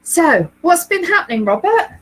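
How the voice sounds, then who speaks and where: A woman's voice: very high voice, big pitch swings, moderate pace. A woman from Leeds, co-hosting a knitting livestream from home, chatting casually with lots of ums and easy laughs. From England, Leeds area. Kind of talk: casual chat, reading viewer comments.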